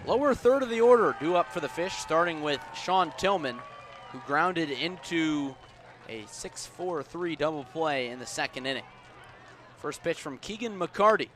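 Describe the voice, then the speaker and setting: A male sports announcer doing live baseball radio commentary in a bright, forceful, slightly high voice. He phrases things in a measured way and leans into the plays. Faint outdoor ballpark noise sits behind him. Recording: outdoor ballpark ambience, steady background noise. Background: outdoor ambient noise.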